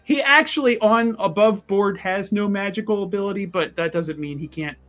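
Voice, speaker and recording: A man improvising his character's story at a tabletop roleplaying game, wordy and halting, often repeating himself. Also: high-pitched, fairly bright tone, faint music behind.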